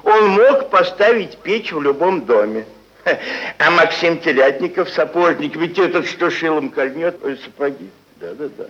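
Stubborn haggling landowner, animated, vintage recording. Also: male voice, a little high, normal speed. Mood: boastful. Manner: emphatic, repetitive, folksy haggling. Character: stubborn, blunt, haggling male landowner selling dead serfs. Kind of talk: old Soviet stage-style radio acting. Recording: dull vintage archive radio recording.

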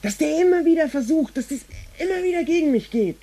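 A high, lively, naive boy's voice confides in a home tape machine. He talks haltingly and eagerly, like a lonely teenager keeping an audio diary in a radio play. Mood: sulky, aggrieved. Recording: amateur 1950s home tape recording.

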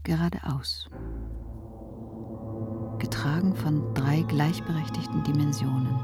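Low, slow, mysterious female narrator. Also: steady tone. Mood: solemn, mysterious. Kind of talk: poetic radio drama narration. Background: music.

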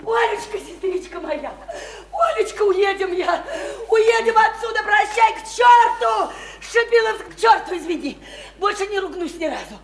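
A woman's voice: very high voice, animated, normal speed, gravelly, heavily acted. An actress in a radio play voicing an overwrought provincial woman desperate to escape, gushing and repeating herself in colloquial speech. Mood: ecstatic hysteria. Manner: gushing, repetitive, colloquial, hysterically elated. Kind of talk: theatrical Russian radio play acting. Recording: roomy, echoing stage-like radio play recording.

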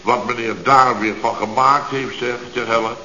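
An actor playing a blustering, sarcastic boss of a building firm who rants indignantly in a radio comedy. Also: male voice, mid-range voice, steady tone, normal speed, pushed and bright, a little hiss or hum, close to an everyday voice. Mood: exasperated. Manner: ranting, indignant, exclamatory. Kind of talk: radio comedy serial acting. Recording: dull, echoey old radio recording.

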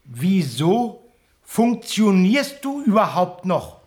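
An actor playing a reasoning, slightly superior time traveller in a radio play, explanatory and insistent. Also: male voice, high-pitched, very animated, slow, a little mellow, heavily acted. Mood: questioning, superior. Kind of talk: German radio play acting.

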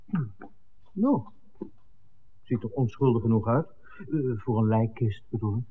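A man's voice: medium pitch, animated, a little slow, a little hiss or hum, natural voice. An actor playing a wry, hesitant cameraman in a 1960s Dutch radio drama. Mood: wry relief. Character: Mark, wry hesitant cameraman hiding in a church. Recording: thin, phone-like old radio recording.

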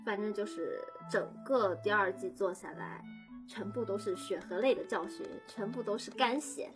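A woman in casual behind-the-scenes chat, talking in halting, repetitive phrases. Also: medium pitch. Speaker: audio drama crew member. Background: music.

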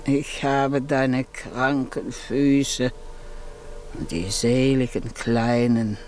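An actor playing a grave, fatalistic man in a 1950s German radio drama, declaiming solemnly in measured phrases. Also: medium pitch, steady tone, a little mellow, faint music behind. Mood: solemn, resigned.

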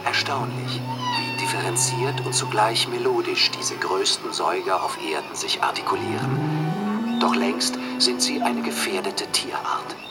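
Sehr bewegter Naturfilm-Sprecher. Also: male voice, mid-range voice, wildly swooping pitch, a little slow, over loud music. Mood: solemn wonder. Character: earnest male nature documentary narrator on a CD. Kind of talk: scripted documentary narration within radio drama.

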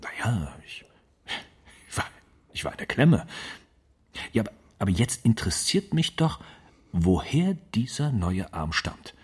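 Brooding hospital patient, radio play; low, raspy, stammering, bitter. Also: male voice, big pitch swings, a little slow, pauses often, full character voice. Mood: hesitant, suspicious. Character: unsettled, bitter male patient with a transplanted arm. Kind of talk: German radio crime drama acting.

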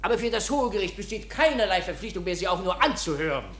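An actress playing a stern, haughty court official in a German radio drama, delivering officious and scornful rebukes. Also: female voice, medium pitch, expressive, fast talker, lightly acted. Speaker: actor in a radio play. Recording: echoey room, loud background noise.

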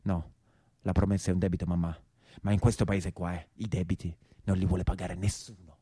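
An actor playing an embittered imprisoned peasant brigand in a theatrical monologue, speaking emphatic, repetitive dialect with a southern Italian accent. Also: male voice, sits low, level tone, brisk, mellow, slightly rough, dry recording, studio quality. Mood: bitter, aggrieved.

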